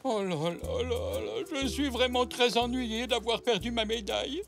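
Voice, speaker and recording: A man's voice: extremely high pitch, moderate pace, gravelly. A man playing an elderly zebra grandfather in a French children's cartoon, a proud old soldier who gets flustered easily. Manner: expressive, hesitant when flustered.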